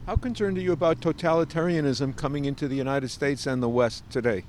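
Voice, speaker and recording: A man with an average-pitched voice poses interview questions. He speaks haltingly, often searching for the right word, with faint outdoor traffic noise in the recording. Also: a little mellow.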